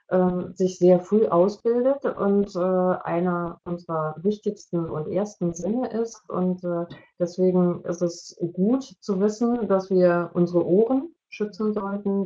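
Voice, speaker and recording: A woman's voice: medium pitch, mellow. A middle-aged woman explaining as an invited expert over a video call. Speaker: media coach, invited expert. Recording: dull, muffled video-call sound.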